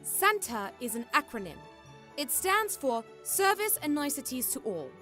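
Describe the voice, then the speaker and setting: A woman playing a brisk, bossy young witch in a radio drama, explaining things emphatically. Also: sits high, sing-song, pushed and bright, faint music behind, heavily acted. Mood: explanatory.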